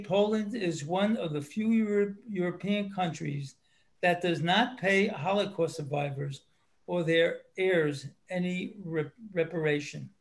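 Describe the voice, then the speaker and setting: A man reading his prepared book review aloud, in a measured, earnest way with small stumbles. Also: high-pitched.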